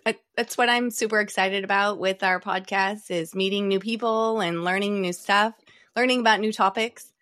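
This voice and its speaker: A woman co-hosting a podcast, chatting casually and eagerly. Because she is under the weather, her voice sits deeper than it normally would, with a mid-range pitch. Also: steady tone, normal speed, fairly bright tone. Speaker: podcast co-host.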